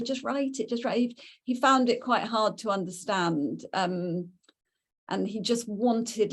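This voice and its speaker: A middle-aged woman with a medium-pitched voice. She recounts anecdotes as a panel guest, conversational and gently self-deprecating. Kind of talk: anecdotal recollection.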